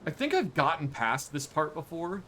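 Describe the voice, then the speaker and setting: A man's voice: on the high side, moderate pace, slight background noise. A young man streaming a game live, chatting casually and joking as he plays. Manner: joking, chatty, animated reactions. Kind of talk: casual live-stream chat while gaming.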